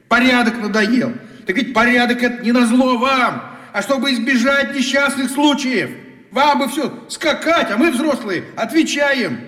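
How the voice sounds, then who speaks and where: A high-voiced, middle-aged camp boss, officious and indignant, hectoring like someone making an announcement. The voice rings out with strong echo and long reverb, in radio drama style. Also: male voice, fairly bright tone, exaggerated voice. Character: blustering, scolding male summer camp director. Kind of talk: radio play acting. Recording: echoing outdoor address with long reverb.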